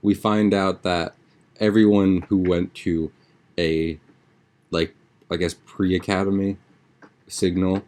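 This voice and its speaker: A man's voice: sits low, level tone, slow, pauses often, darkish tone. A young man chatting casually as a podcast host, with an informal, slangy, rambling way of talking. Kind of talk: casual podcast chat, episode recap.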